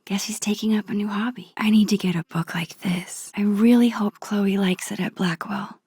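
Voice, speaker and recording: A woman playing a shy, wistful teenage girl in a video game, musing to herself in a soft, whispery voice. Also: mid-range voice, steady tone, normal speed, husky, no room sound. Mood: fond. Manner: gentle, musing, talking to herself. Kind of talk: introspective game character monologue.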